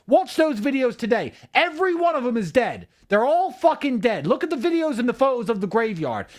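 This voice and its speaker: A man hosting a live stream, ranting to his audience in an angry, profane and emphatic way, swinging between shouting and muttering. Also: very high voice. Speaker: livestream host.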